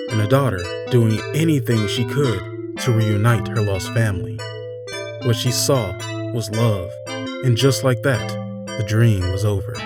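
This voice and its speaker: A man narrating a scripted fairytale in a measured, theatrical way, pausing between short phrases. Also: lowish voice. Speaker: storyteller narrator. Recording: music bed under the voice. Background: instrumental music.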